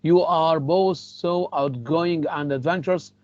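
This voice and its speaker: A man's voice: a little high, steady tone, a little slow, a little mellow, no room sound, highs cut off. A man with an Egyptian accent teaching an English class, repeating phrases and mixing in Arabic. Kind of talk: classroom lecture.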